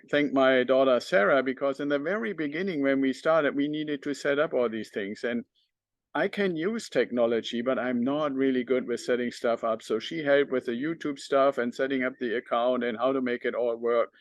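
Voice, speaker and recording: A man's voice: medium pitch, normal speed, no room sound. A middle-aged man from northern Germany with a German accent, talking unscripted to his audience as a podcast host.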